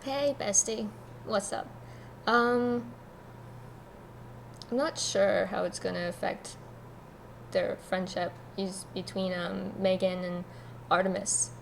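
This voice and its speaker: A woman's voice: medium pitch, steady tone, slow pace, gravelly, pauses often, a little hiss or hum, low-quality recording. A woman co-hosting a casual fan chat, emphatic and opinionated, repeating herself for stress. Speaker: show co-host.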